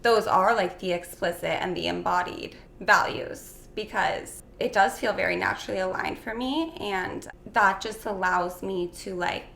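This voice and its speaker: A young woman in casual interview conversation, dreamy and full of "like" fillers, slightly hoarse. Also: mid-range voice, unhurried, few pauses, a bit bright. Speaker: guest. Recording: echoey room with faint noise.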